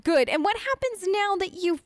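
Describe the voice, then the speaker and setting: High, animated woman playing games. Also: moderate pace, dry recording, clean studio sound. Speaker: gamer doing a role-play let's play. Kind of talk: casual playful gameplay commentary, speaking in character.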